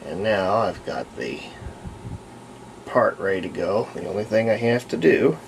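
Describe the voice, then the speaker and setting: A man with a gravelly, creaky voice explains a hobby repair in casual tutorial talk, pausing mid-sentence as he demonstrates. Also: fairly low pitch, expressive, a little hiss or hum, low-quality recording.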